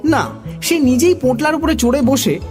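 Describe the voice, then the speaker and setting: High, lively storytelling narrator. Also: normal speed, over music. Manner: expressive, animated. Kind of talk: dramatic children's story narration.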